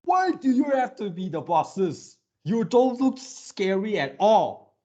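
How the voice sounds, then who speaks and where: A man giving casual fan commentary as a video creator, halting as he repeats words and searches for phrasing. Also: sits high, sing-song, darkish tone, lo-fi sound. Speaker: video creator reacting to a game series.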